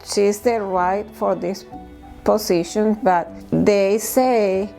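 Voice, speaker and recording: A middle-aged woman from Venezuela with a Venezuelan Spanish accent, giving a personal interview account in halting non-native English. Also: medium pitch, fairly even intonation, creaky, warm tone, faint music behind. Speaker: documentary interviewee.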